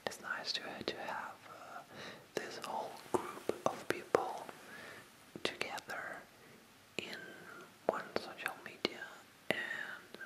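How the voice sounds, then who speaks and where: An ASMR creator speaking to viewers in a pure breathy whisper, with a halting, hesitant delivery that often repeats phrases. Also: low-quality recording.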